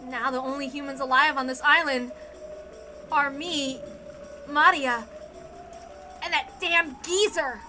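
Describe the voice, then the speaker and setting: A desperate, hot-headed young man, acted out by a woman in a visual novel reading. The voice is high and emphatic, full of raw emotion and vengeful resolve. Music runs clearly underneath. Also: steady tone, unhurried, long pauses, pushed and bright. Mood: agitated.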